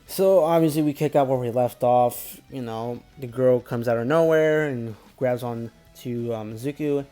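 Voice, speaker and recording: Male reviewer, medium pitch, mellow tone, casual unscripted recap, rambling and filler-heavy, light music underneath. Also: a little slow. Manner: rambling, repetitive filler phrases, stumbling over names. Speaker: anime episode reviewer.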